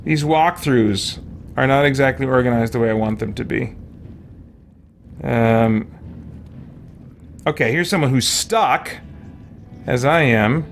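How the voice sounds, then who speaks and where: A man's voice: mid-range voice, slow pace, long pauses. Male game streamer, faint background noise. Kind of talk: casual gameplay commentary, muttering to himself.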